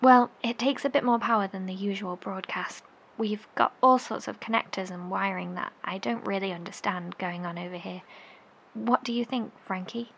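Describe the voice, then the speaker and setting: A woman's voice: medium pitch, normal speed, creaky, a bit bright, a little hiss or hum. A woman acting a candid bunker radio operator in a horror audio drama. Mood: matter-of-fact.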